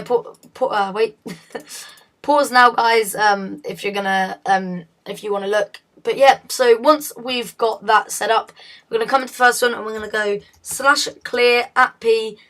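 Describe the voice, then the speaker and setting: A woman with a hoarse, fading voice, talking through a game tutorial in a chatty, self-correcting way. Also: a little high, fairly even intonation, a little slow, fairly bright tone. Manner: chatty, self-correcting, often laughs at slips. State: hoarse, losing her voice. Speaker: video tutorial host.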